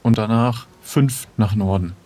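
A man's voice: lowish voice, measured pace, warm tone. A man role-playing casually as a player in an improvised adventure, speaking in a hesitant, inquisitive way.

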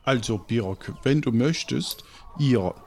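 A man's voice: medium pitch, lively intonation, slow pace, creaky, a little mellow. A man narrating an improvised tabletop game as game master.

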